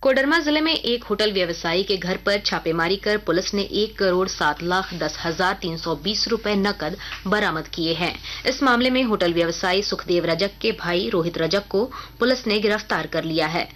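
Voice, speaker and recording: A woman's voice: medium pitch, fairly bright tone, a little hiss or hum. Woman reading a formal radio news bulletin. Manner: formal, measured announcing. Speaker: news reader.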